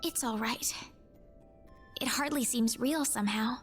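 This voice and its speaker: A gentle, earnest teenage heroine from a video game , voiced by a woman. Her voice is very high and wistful, with music playing underneath. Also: a little slow, lightly acted. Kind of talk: video game dialogue acting.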